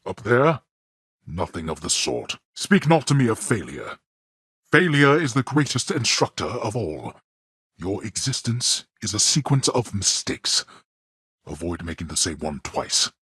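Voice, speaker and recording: A man's voice: lowish voice, sing-song, measured pace, a bit bright, breathy edge, no echo, studio quality, heavily acted. An actor playing a stern, imperious male god of the dead who speaks formally and coldly, in a game. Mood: commanding. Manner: formal, cold and commanding, with clipped rebukes. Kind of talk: video game voice acting.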